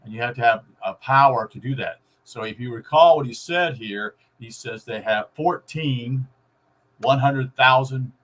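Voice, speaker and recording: A man giving a casual, rambling explanation to viewers in a halting, folksy way. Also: mid-range voice, fairly bright tone. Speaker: vlogger explaining radio.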